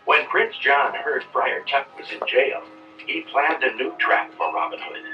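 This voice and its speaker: A man's voice: medium pitch, a little slow, far from the mic, music in the background, lightly acted. A man reading scripted narration for a children's read-along storybook. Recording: roomy, dull playback of old read-along record.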